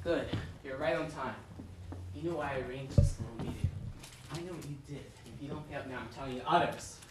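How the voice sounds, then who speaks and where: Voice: mid-range voice, a little fast, lightly acted. Someone acting menacing distant blackmailer. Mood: threatening. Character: menacing, impatient blackmailer in a murder mystery. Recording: distant, echoey, very noisy stage recording.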